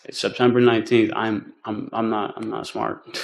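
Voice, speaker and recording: An American man speaking as a defendant in court, explaining himself informally and haltingly, leaning on "like" and "you know". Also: lowish voice, level tone, gravelly, a little hiss or hum.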